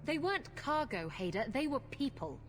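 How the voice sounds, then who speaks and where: A woman's voice: high-pitched, very animated, normal speed, slight background noise. An actress playing a brash, sly, self-assured female rogue in a fantasy video game. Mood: defiant. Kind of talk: video game character dialogue. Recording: music behind the voice.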